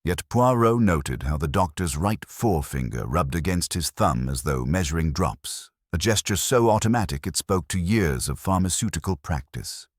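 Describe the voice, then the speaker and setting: Deep-voiced male narrator for a mystery audiobook, expressive delivery, dry close sound. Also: no echo. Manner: measured, dramatic.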